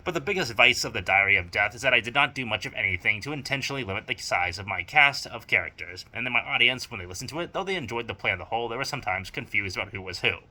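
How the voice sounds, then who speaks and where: Bright, forceful man presenting a video essay. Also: medium pitch, animated, very fast, hardly any pauses, low-quality recording. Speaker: audio drama writer and producer presenting a video. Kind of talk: scripted video essay narration.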